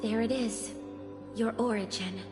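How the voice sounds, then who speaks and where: A woman's voice: on the high side, fairly even intonation, unhurried, loud music behind the voice, close to an everyday voice. A woman voicing an enigmatic, protective messenger in a video game, with a solemn and formal manner. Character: enigmatic, protective female messenger of a secretive order. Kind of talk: English video game voice acting.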